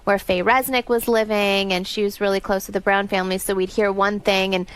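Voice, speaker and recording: A woman's voice: medium pitch, flat delivery, brisk, smooth, low-quality recording. A woman guest on a radio interview, telling her family story in a candid, thoughtful way. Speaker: celebrity guest. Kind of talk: casual interview chat. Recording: radio studio.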